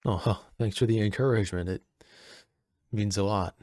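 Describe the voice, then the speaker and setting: A man playing a friendly, easygoing personal assistant at a manor in an audio roleplay, soft-spoken, casual and a little hesitant. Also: fairly low pitch, normal speed, no room sound, studio quality, lightly acted. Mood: friendly, self-deprecating.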